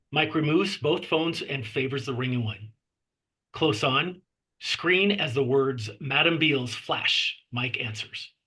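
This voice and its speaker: Bright, husky male script narrator. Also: medium pitch, poor audio. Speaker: narrator reading stage directions.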